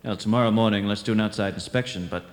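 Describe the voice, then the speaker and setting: An actor playing a calm, solitary senior lighthouse keeper from the nineteenth century in a radio drama, musing and unhurried. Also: male voice, fairly low pitch, close to an everyday voice. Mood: steady, practical.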